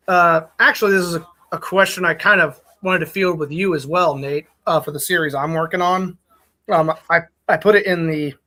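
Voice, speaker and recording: A man's voice: a little high, bright, forceful tone. A man casually talking with friends as host, explaining his thoughts in a hesitant, considered way. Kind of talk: casual group chat.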